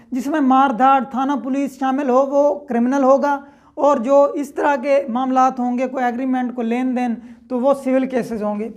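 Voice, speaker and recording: Woman, high-pitched voice, law lecturer, didactic, step-by-step explanations. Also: darkish tone. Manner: didactic, step-by-step, repeats points and checks understanding.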